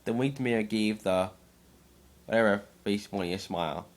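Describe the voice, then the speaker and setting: A man's voice: fairly low pitch, normal speed. A man reading aloud as an amateur narrator, now and then stumbling over his words. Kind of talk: dramatic story reading by an amateur.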